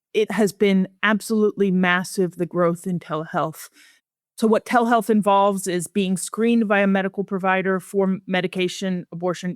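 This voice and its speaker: A woman speaking as an expert guest in an interview, explaining research findings in a measured, serious, explanatory way. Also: on the low side, no echo, clean studio sound.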